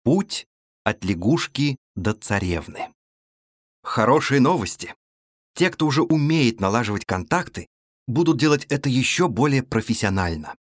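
A man's voice: mid-range voice, very animated, normal speed, dry recording. Male audiobook narrator, dry studio sound. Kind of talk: scripted narration of a business audiobook. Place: recording studio.

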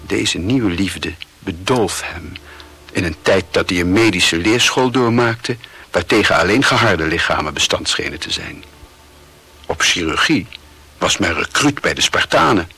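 Casting: male radio drama narrator, very low and husky, reading literary prose with a bright edge. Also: animated, a little slow.

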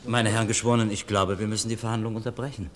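A man playing an authoritative presiding judge in a radio crime play, formal, measured and commanding. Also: lowish voice, little pitch movement, background noise, lightly acted. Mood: concerned, official.

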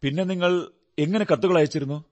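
A man acting in a radio drama as a shrewd, methodical detective who asks questions in a measured, deliberate way. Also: on the high side, a little mellow, natural voice. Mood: probing, composed. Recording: radio drama with background music. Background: music.

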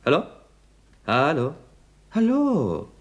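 An actor playing a brash, quick-witted young gentleman actor, husky and mock-grand, in a 1960s German radio comedy. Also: mid-range voice, big pitch swings. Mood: glib, mischievous. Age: young adult. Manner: theatrical, glib, mock-grand.

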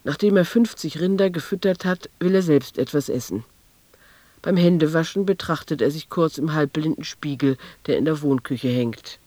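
A man's voice: on the high side, moderate pace, darkish tone, no echo, lightly acted. A man performing as a sober, precise narrator in a literary radio play. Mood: sober, observant.

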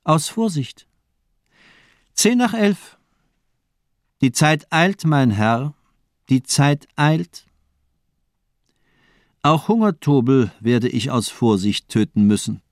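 A cold, menacing male doctor in a radio crime play. He speaks at a middle pitch in polished, mocking monologues, elegant and deliberate. The sound is very dry and close. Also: animated, dry recording. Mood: coldly amused. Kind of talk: German radio crime drama acting.

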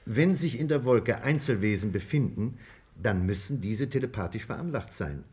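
A man playing a thoughtful scientist in a 1950s German radio drama, explaining in a measured, precise, formal way. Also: mid-range voice, expressive, warm tone, lightly acted. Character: thoughtful male scientist patiently translating ideas into plain terms. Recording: vintage 1950s radio play recording.

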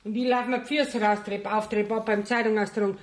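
Radio play: actress for sharp-tongued Bavarian working wife, middle-aged, blunt, mid-range voice. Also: female voice, medium pitch, steady tone, fairly quick, background noise, natural voice. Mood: scolding. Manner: scolding, blunt. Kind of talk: 1970s German radio play acting in dialect.

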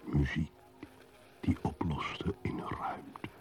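An actor playing a solitary, brooding male wanderer who narrates in a solemn, measured, poetic radio play style. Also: in a whisper, music in the background.

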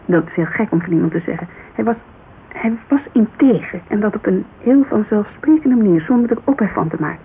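Radio drama part: Dutch woman, thirties played by an actress, guarded and wistful, gravelly fry in the voice, hissy narrow-band recording. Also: medium pitch, expressive, brisk, close to an everyday voice. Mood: earnest. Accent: Dutch, Netherlands. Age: 30s. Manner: hesitant, colloquial, searching for words. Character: thirty-three-year-old Amsterdam model agency owner, guarded, wistful, candid. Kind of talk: naturalistic radio drama dialogue.

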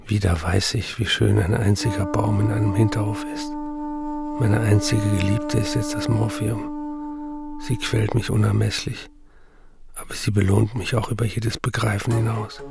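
An actor playing a dreamy writer and morphine addict in a radio play, confiding in a savouring, intimate way. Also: male voice, lowish voice, animated, moderate pace, gravelly. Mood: blissful, enraptured. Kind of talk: literary radio play monologue. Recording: studio voice with music behind. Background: music.

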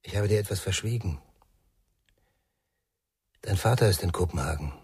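An actor playing an enigmatic, calm musician in a radio crime drama, speaking in terse, measured, knowing phrases with a husky, gravelly voice. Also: male voice, low voice, dry recording, clean studio sound. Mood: quiet, confiding.

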